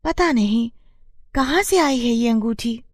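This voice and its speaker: A woman's voice: on the high side, animated, unhurried, no echo, clean studio sound. A woman voicing an earnest, plaintive young village daughter from a poor family in a moral story. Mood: amazed, puzzled. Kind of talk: acted dialogue for moral story.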